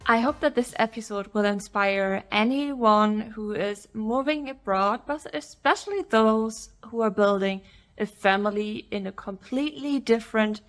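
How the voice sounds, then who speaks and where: Lady hosting a podcast, mid-pitch voice, friendly and clear, a little music under her. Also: measured pace, a bit bright. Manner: friendly and clear, asks long framed questions. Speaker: podcast host. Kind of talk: podcast intro and interviewing.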